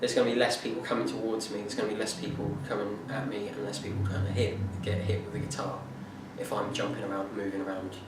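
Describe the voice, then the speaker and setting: A young man giving an informal class presentation, talking in a halting way with many fillers and restarts. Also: on the low side, completely flat delivery. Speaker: music student presenting a performance plan. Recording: echoey room from far off, noisy.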